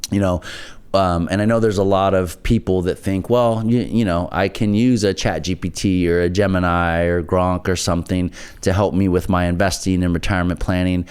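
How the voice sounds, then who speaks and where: A man's voice: sits low, steady tone, moderate pace, darkish tone, smooth. A man hosting a casual interview, talking his way around to questions and often checking in for agreement. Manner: roundabout, checks in with "right?". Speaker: interview host.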